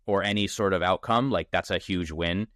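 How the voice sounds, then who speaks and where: A man of thirty, a startup founder as podcast guest, talking in a casual, energetic way with many restarts and fillers. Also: low voice, moderate pace, no room sound, studio quality. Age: 30s. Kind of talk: casual interview conversation. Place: Toronto.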